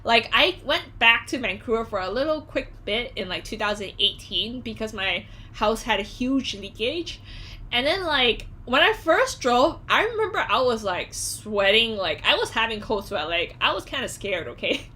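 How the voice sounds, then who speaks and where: A woman's voice: fairly high pitch, normal speed. A young woman with a Canadian accent chatting casually as a live stream host, slangy and giggly, peppering her talk with "like". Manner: slangy, animated, giggly, heavy use of "like".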